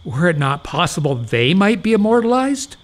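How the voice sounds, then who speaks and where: A man acting a gullible old doctor in an audio farce, pompous and rapturous, with deferential, drawn-out exclamations in period English. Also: high voice, big pitch swings. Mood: deferential, eager.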